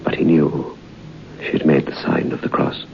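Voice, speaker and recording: An actor playing the narrator of an old-time radio ghost story, telling it in a measured, suspenseful way. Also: male voice, rumbling low, flat delivery, gravelly, in a whisper, full character voice. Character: storyteller recounting a friend's eerie experience. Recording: muffled old radio broadcast, faint noise.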